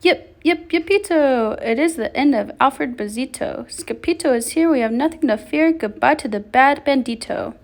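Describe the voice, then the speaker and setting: A woman voicing excitable little Chihuahuas in a children's storybook, exclaiming and chanting. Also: high voice, normal speed, few pauses. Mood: celebrating. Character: band of excitable little bandit-fearing Chihuahuas.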